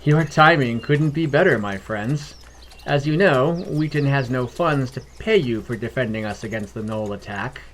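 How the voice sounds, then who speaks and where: A man's voice: medium pitch, normal speed, a little hiss or hum, close to an everyday voice. A man voicing a genial fantasy town mayor with a courteous, slightly formal manner.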